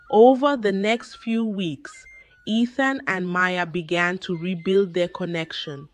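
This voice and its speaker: A woman narrating a scripted folktale in a measured, dramatic storytelling manner. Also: medium pitch, fairly bright tone.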